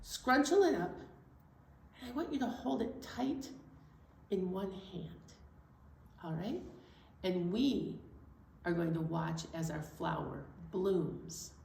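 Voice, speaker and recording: A woman's voice: on the low side, lively intonation, slow pace, vocal fry, long pauses, a little mellow, a little hiss or hum. A woman hosting a children's storytime, playfully explaining songs and activities in a sing-song way to small children. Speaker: children's library storytime host. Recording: roomy echo, distant microphone.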